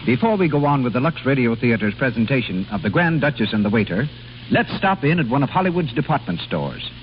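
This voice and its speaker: A man's voice: medium pitch, sing-song, fairly quick. A man delivering scripted 1930s radio announcements and commercial copy. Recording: muffled, hissy old radio broadcast.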